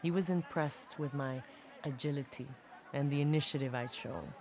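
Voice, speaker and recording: A woman's voice: low voice, normal speed, a little mellow, a faint crowd, a little hiss or hum, close to an everyday voice. A woman playing a young special forces soldier from the slums, telling her story in a confiding, casual game audio log. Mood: wry, proud. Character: young female special forces soldier, tough favela-raised street kid. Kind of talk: dramatic first-person audio log narration.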